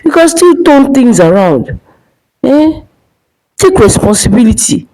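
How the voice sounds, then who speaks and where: A woman's voice: high-pitched, very animated, a little slow, warm tone, pitched above the performer's own voice, rough recording. A woman voicing an earnest, responsible soldier, a caring brother in a dramatic folktale. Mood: earnest urging. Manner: earnest, urging.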